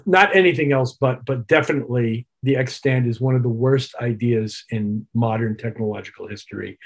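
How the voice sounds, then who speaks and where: A man's voice: mid-range voice, breathy edge. An older man, a musician guest, talking casually in an interview with a wry, emphatic, opinionated manner. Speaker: interview guest, keyboard player and producer.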